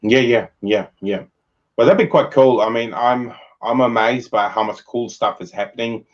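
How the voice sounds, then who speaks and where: Male host, easygoing chatter, mid-pitched, slightly muffled lo-fi call audio. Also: normal speed. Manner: relaxed, chatty, uses fillers. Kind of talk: casual chat over whisky.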